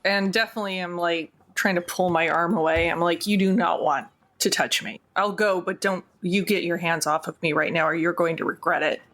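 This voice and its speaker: A woman's voice: lowish voice, fairly even intonation, a little fast, hardly any pauses, a bit bright. A woman playing a tabletop roleplaying game, bantering casually in a playful, joking manner.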